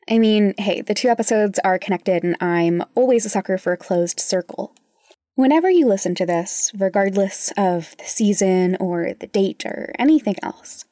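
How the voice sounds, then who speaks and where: A woman with a mellow, dark-toned voice talks straight to the listener. She is soft and informal, guiding a reflection, and the sound is very dry and close. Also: mid-range voice, no room sound. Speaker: podcast host. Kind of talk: intimate guided reflection to listeners.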